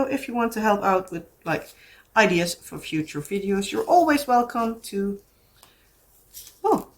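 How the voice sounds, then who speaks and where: Chatty Dutch-accented woman vlogger. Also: mid-range voice. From Netherlands. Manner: chatty and rambling, many fillers and restarts. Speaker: craft vlogger. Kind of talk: casual chat to camera.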